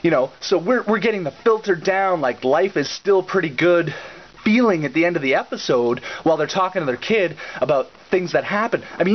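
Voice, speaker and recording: Sarcastic man with swooping, animated pitch. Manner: sarcastic, theatrical, halting with repeated words. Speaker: reviewer and puppet-show host. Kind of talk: satirical rant and recap. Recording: slightly dull lo-fi audio.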